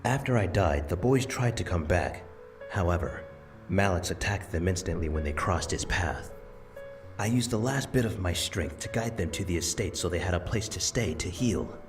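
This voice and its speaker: A man playing the kindly, proud spirit of a grandfather in a visual novel, gentle and earnest. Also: sits low, expressive, moderate pace, over music. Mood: explaining. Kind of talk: visual novel character dialogue.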